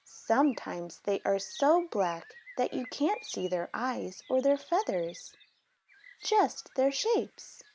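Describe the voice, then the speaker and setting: A woman reading a children's picture book aloud, with deliberate, expressive phrasing for young children. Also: fairly high pitch, creaky, slight background noise. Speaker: storybook reader.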